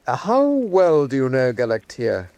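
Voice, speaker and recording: A sardonic, gravelly cardinal. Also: male voice, medium pitch, huge pitch swings, a little slow, a little mellow, no room sound. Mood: dry probing. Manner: dry, probing, speculative. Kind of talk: radio drama acting.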